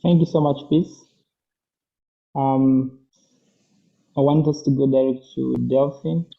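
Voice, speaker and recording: Gravelly Tanzanian man moderating. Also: medium pitch, steady tone, takes it slowly. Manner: polite, formal. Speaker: moderator, mental health therapist. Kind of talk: moderating an online panel. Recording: muffled lo-fi audio.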